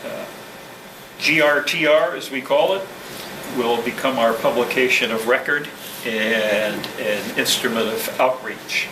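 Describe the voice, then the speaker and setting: A man speaking as a club president, giving a prepared address to a meeting in a halting, measured, formal way. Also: medium pitch. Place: indoor meeting room with ventilation hum. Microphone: distant room microphone. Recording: distant mic, echoey room, clear background noise.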